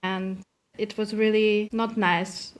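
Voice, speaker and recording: A young woman, a teacher in training, speaking in halting English and searching for words as a guest in a casual conversation. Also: mid-range voice, flat delivery. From Slovakia. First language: Slovak.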